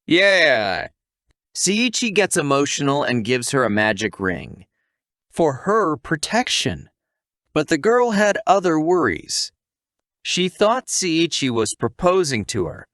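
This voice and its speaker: A man's voice: a little high, very animated, slow, a bit bright, no echo, clean studio sound. A man narrating a scripted story recap, with casual asides to the listener.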